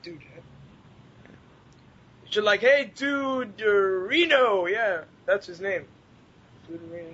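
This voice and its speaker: A man's voice: lively intonation, slow, long pauses, a bit bright, slightly rough. A man co-hosting a podcast, chatting casually and joking in a sarcastic, sweary way. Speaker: podcast co-host. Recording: lo-fi internet audio with noise, rumble.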